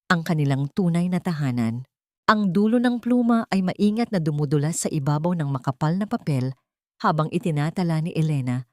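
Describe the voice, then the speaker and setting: A woman with a Filipino accent narrating a dramatic scripted story in a measured, sentimental way. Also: lowish voice, a little mellow, dry recording, clean studio sound.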